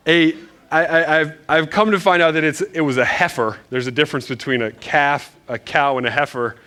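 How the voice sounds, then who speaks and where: Animated, chatty male preacher. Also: a little high, normal speed. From Northern Virginia. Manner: animated, self-deprecating, many restarts. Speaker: pastor. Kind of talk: casual storytelling sermon. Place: church.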